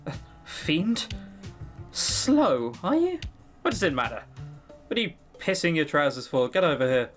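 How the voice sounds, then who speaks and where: Let's player acting ancient demoness, bored, mocking, grandiose, swooping lively pitch, game character, music behind. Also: measured pace, long pauses. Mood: impatient, mocking. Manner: teasing, grandiose, drawling for effect. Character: centuries-old female demon, bored, mocking, gleefully theatrical.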